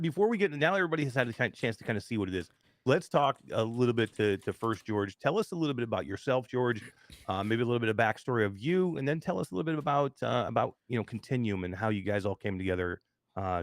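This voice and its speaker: Animated jokey male stream host. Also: mid-range voice, no echo, clean studio sound. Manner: jokey, laughs often, loose and chatty. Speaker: show host. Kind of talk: casual livestream chat.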